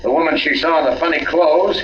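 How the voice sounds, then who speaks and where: A man's voice: fairly high pitch, steady tone, a little fast, fairly bright tone, highs cut off, natural voice. A man playing a sly police captain who questions in a folksy, needling, falsely casual way, in 1940s radio mystery style. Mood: pressing, insinuating. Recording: echoey, hissy old radio recording.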